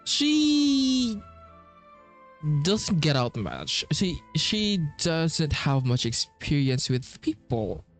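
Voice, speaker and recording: Male game streamer, casual and hesitant, unhurried delivery, faint music behind. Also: on the high side, wildly swooping pitch. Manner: repetitive, hesitant phrasing. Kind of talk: casual playthrough commentary.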